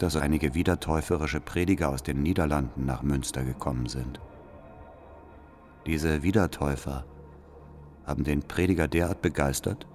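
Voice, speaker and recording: An actor playing a devout, calculating church spy in a radio drama, reading out his reports in a formal, deliberate, deferential manner. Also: extremely low pitch, a little mellow. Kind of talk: radio drama letter monologue. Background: faint music.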